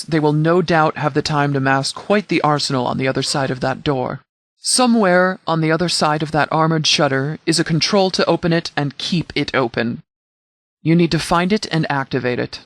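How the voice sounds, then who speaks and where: A man voicing a stern, commanding sheriff who leads a wasteland militia in a video game, speaking in a formal, measured, authoritative way. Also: a little high, fairly bright tone, no room sound. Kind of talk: scripted video game dialogue.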